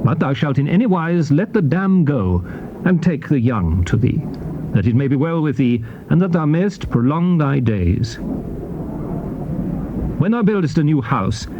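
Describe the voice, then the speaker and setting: A British man narrating scripture in a measured, formal, declamatory style on a dull, noisy old cassette recording. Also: on the high side. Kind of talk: solemn dramatized Bible reading.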